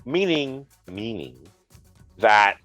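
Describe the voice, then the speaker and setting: A congested man co-hosting a gaming news chat, making dry, deadpan jokes as he explains the news. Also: lowish voice, drawn-out words, dry recording, faint music behind. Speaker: co-host, retro gaming specialist.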